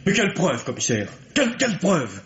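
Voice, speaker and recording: An actor playing an excitable, indignant son in a vintage French radio mystery, exclaiming and protesting. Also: male voice, fairly high pitch, brisk, slight background noise. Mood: agitated, protesting. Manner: exclamatory, agitated. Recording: dull, echoey old radio recording.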